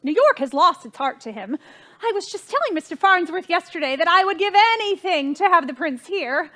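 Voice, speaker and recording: Brief: imperious society matron for a period stage comedy, extremely high voice, swooping intonation, fretful and gushing. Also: female voice, big pitch swings, brisk, a bit bright, exaggerated voice. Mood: exasperated, ambitious. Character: flustered, imperious society hostess, a socially ambitious mother. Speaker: stage actress. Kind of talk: theatrical period comedy acting.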